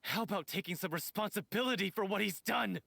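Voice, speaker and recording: A man's voice: sits high, fairly quick, creaky, bright, forceful tone, no echo, heavily acted. A man playing a bereaved, hot-tempered lover who accuses bitterly in a dramatic, confrontational style. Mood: furious, grieving. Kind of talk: dramatic voice acting.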